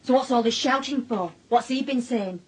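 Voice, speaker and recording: Protective mum, slightly high. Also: female voice, moderate pace, breathy edge. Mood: suspicious, protective. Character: protective, suspicious working-class mother of the lad. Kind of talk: naturalistic radio drama dialogue.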